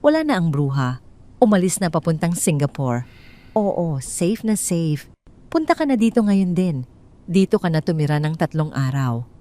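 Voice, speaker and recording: Lady doing a gloating cheating husband, low voice that swings up and down, sneery. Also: big pitch swings, moderate pace, mellow. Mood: gleeful. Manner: boastful, sneering. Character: smug cheating husband, gleeful and scheming.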